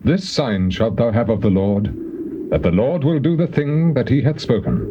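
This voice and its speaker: A stern male prophet, played by an actor, declaims scripture gravely, his pitch rising and falling widely. The old cassette sound is dull, with clear noise behind him. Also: lively intonation, normal speed, close to an everyday voice. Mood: authoritative. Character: Isaiah, stern male prophet proclaiming divine judgment. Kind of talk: dramatized King James scripture, theatrical declamation.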